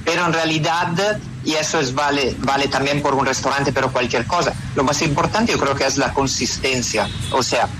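Italian man, hesitant, noisy line. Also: mid-range voice. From Italy. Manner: hesitant, many fillers and restarts. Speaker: interview guest, pizzeria owner. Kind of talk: radio phone interview.

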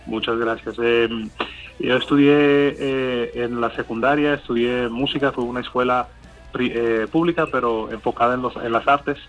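A man's voice: mid-range voice, fairly even intonation, moderate pace, faint music behind, poor audio. Hesitant man with Cuban-Colombian accent. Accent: Cuban Spanish softened by Colombian. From Miami, USA. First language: English. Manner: hesitant, many fillers and restarts. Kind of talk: interview answers.

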